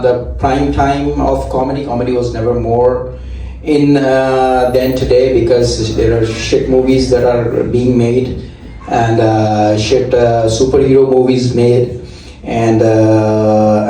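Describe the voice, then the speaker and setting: A man with an Indian accent performs a stand-up set in a mellow, mid-pitched voice. He stumbles along with filler sounds and restarts. The recording is very echoey and the mic sits some distance from him. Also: slight background noise. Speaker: stand-up comedian.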